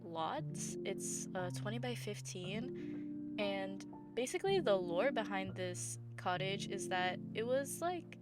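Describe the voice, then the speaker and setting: Hoarse, gravelly woman. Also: medium pitch, animated, slow pace. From Southern United States. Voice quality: scratchy, gravelly voice. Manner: rambling, filler-heavy, self-correcting. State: hoarse after bronchitis. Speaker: game builder and channel host. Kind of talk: casual voice-over commentary over gameplay. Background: background music.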